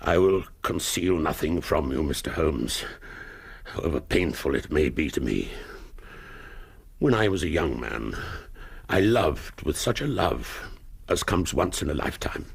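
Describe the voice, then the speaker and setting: An actor in a radio period drama playing a proud, anguished upper-class English duke, formal and grave, halting under strain. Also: male voice, extremely low pitch, animated, creaky, slightly rough, exaggerated voice. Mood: sorrowful confession.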